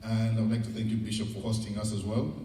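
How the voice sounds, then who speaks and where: Echoey, low-voiced Ugandan man addressing a hall. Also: flat delivery, quick pace, dark tone. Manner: candid, encouraging, conversational. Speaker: guest speaker at a seminar. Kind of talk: informal motivational public talk. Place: large indoor event room. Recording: echoey hall, distant mic, noisy.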